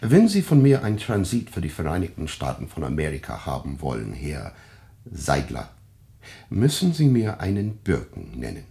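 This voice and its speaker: A man's voice: very deep voice, huge pitch swings, normal speed, gravelly, warm tone, exaggerated voice. An actor playing a suspicious male official who questions a refugee in an insistent, probing way in a radio drama. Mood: interrogating. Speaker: immigration official.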